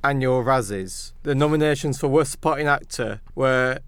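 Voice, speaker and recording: A man with a clear, smooth, mid-pitched voice co-hosts a film podcast. He chats casually, recorded close and dry with no room echo. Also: moderate pace, a bit bright. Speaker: podcast co-host.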